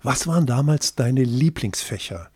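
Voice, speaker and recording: A man's voice: medium pitch, animated, moderate pace, a little mellow, breathy edge, dry recording, clean studio sound. A man interviewing in German, encouraging and asking follow-up questions. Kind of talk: casual interview.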